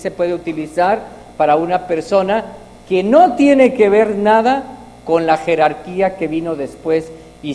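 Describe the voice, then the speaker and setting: A man from Mexico with a Mexican accent, preaching a sermon with prayer and scripture reading, slow and halting, often repeating his words. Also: fairly high pitch, mellow. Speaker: visiting preacher. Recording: long hall reverb, faint noise.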